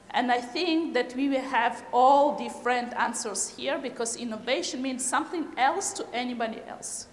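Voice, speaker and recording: Woman speaking in an echoey hall. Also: fairly high pitch. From Kyrgyzstan. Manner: hesitant, many fillers, non-native phrasing. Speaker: conference speaker. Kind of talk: conference talk. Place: large lecture hall. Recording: echoey hall with reverb, faint noise.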